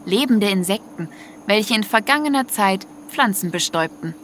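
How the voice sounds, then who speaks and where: A woman's voice: mid-range voice, expressive, normal speed, fairly bright tone, slight background noise. A woman narrating a scripted audio story in prose. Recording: music under the voice.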